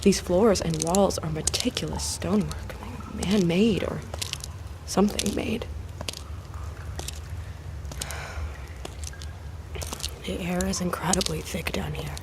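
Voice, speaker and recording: An actress playing a cautious, curious woman explorer recording a field log in an audio drama, speaking in a measured, observational way. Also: on the low side, noisy background, natural voice. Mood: hushed, awed. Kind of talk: found-footage audio drama acting.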